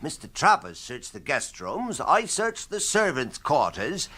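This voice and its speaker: An actor playing a deferential English butler, formal and measured, in a comic radio drama. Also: male voice, on the high side, huge pitch swings, pushed and bright, exaggerated voice.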